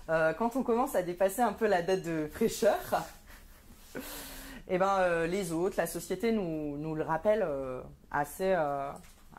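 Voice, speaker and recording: A woman's voice: lowish voice, a little mellow. A woman in her thirties performing a stand-up comedy monologue, wry and sardonic, with frequent hesitant fillers. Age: 30s.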